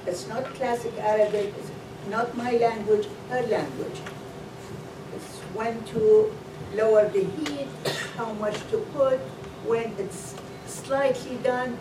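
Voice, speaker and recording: A middle-aged woman from Iraq telling her family cooking story on a panel, slowly and with many fillers. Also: fairly high pitch, noisy background. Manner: slow, halting, many fillers. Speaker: food truck co-owner, guest. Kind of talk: panel talk, personal story. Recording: roomy, distant mic, loud ambience.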